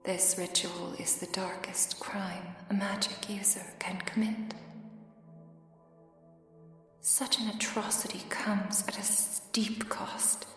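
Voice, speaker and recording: A woman playing a grieving mystical elder mentor in a scripted ASMR roleplay, speaking solemnly in breathy half-whispers with formal, archaic wording. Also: medium pitch, steady tone, slow pace, pushed and bright. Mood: solemn warning. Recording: very echoey hall reverb, music underneath. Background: music.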